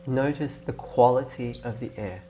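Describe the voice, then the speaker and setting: A man leading a guided meditation in a gentle, instructing way, leaving long pauses between phrases. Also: medium pitch, a little hiss or hum. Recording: clear birdsong and nature ambience behind. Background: birdsong, nature ambience.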